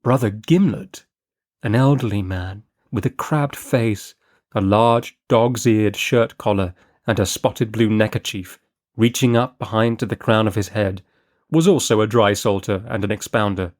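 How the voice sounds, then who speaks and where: A man reading a classic short story as an audiobook narrator, in measured, deliberate storytelling. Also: lowish voice, darkish tone. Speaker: audiobook reader.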